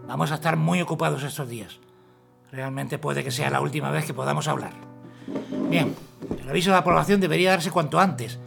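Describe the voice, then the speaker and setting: Hombre que hace de coronel ronco y apresurado. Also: male voice, fairly high pitch, creaky, loud music behind the voice. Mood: urgent. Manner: formal, hurried. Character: urgent male army colonel.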